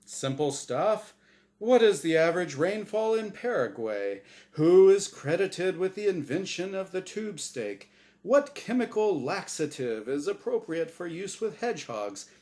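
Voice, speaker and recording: A man playing a smug, high-pitched showman angel. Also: big pitch swings, unhurried, darkish tone. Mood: showy, teasing. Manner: theatrical, emphatic game show patter. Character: smug, showy male angel game show host. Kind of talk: storybook character voice in dramatic reading.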